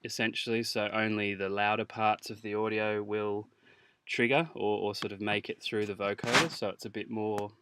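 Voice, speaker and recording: A man teaching music software in an informal tutorial, hesitant as he thinks aloud while demonstrating. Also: on the low side, flat delivery, moderate pace, no echo. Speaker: music production instructor. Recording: clear background noise, mouse clicks.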